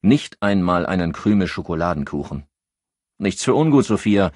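A sober forensic investigator acted by a male narrator in an audiobook. The voice is low and husky, blunt and matter-of-fact, stressing each item of the report. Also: very animated, normal speed, a little mellow, dry recording, clean studio sound. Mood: blunt, resigned. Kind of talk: audiobook character dialogue.

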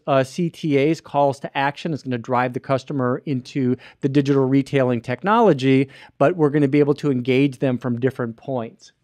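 A man's voice: mid-range voice, darkish tone, dry recording. A man in his thirties hosting an explanatory web show from a small studio, talking into a close podcast mic with many fillers and restarts. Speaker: host. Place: small studio with screen behind. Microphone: boom-arm podcast mic close to mouth.

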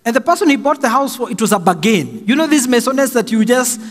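A middle-aged Kenyan man preaching a slow, emphatic sermon from a pulpit stand mic in a large church hall. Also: very high voice, sing-song. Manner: slow and emphatic, raising his voice for stress. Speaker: pastor. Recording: long hall reverb, faint music.

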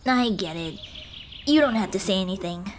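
A woman voicing a small, shy, kind-hearted goblin girl in an ASMR roleplay, speaking hesitantly and gently to comfort the listener. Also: mid-range voice, lively intonation, slight background noise, close to an everyday voice. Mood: gentle, timid. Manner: hesitant, timid, soft-hearted, halting. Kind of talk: ASMR roleplay, intimate one-sided dialogue. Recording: birdsong and outdoor ambience behind voice. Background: birdsong, nature ambience.

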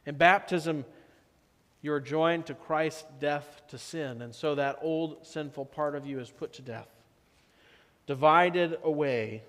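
Slow-preaching pastor in a reverberant church. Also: male voice, a little high. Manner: slow, measured preaching with drops to soft emphasis. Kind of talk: sermon. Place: church sanctuary.

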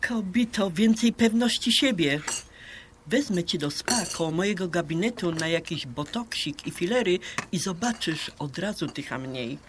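Radio drama: brash, flirtatious Polish woman in her sixties, played by an actress, lowish and very animated, bantering, faint noise behind. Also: moderate pace, close to an everyday voice. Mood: bossy, encouraging. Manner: bantering, peppers Polish with English words. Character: brash, flirtatious Polish woman around sixty, living in America.

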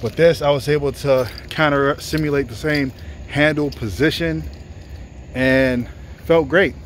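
A man's voice: a little high, fairly even intonation, a little slow. A man in his thirties vlogging about his workout, with loose, self-correcting explanations, filmed on a phone camera. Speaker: fitness vlogger. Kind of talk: casual gym vlog talk. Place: gym indoors, then outdoors by trees. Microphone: phone camera propped up, then held at arm's length. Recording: faint background noise.

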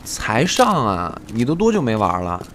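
An actor playing a friendly, caring, boyish young man in a radio drama, gentle and eager. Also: lowish voice, wildly swooping pitch, measured pace, a little hiss or hum, heavily acted. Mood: friendly, apologetic. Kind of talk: Chinese radio drama acting.

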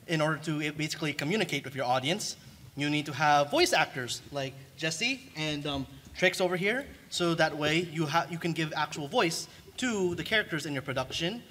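A man explaining things on a panel, halting and stumbling over his words. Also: a little high, lively intonation, bright, forceful tone. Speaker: panelist, artist. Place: convention panel room. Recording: noisy room ambience.

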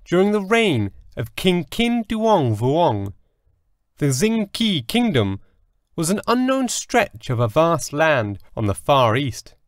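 Slow, lively-pitched male fairy tale narrator. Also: no echo, studio quality. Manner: measured, storybook delivery with deliberate pauses. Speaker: audiobook narrator.

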